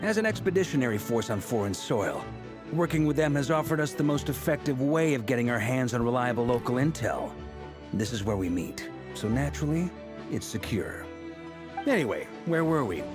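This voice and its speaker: A man's voice: mid-range voice, lively intonation, moderate pace, music in the background, natural voice. A man voicing a seasoned, middle-aged knight commander in a video game, self-assured and wry, with a commanding way of talking.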